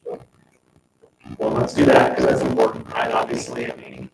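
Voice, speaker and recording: Male board member, extremely low monotone, halting delivery, picked up from afar. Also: gravelly. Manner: halting, repeats phrases as he explains. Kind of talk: informal public meeting discussion. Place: indoor town board meeting room. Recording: echoey, noisy meeting room, distant mic.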